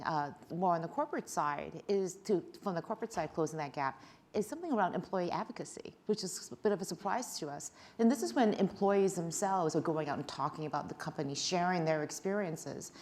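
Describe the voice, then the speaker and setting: A woman with a very low voice speaks on a conference panel. She lays out trends and figures thoughtfully, and her voice drops and softens as she finishes each point. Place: conference stage.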